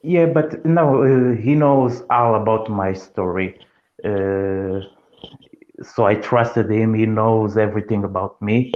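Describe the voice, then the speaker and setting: Caller, male, low and slow, hesitant, muffled call audio. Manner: hesitant, many uh's, halting English. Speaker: panel caller.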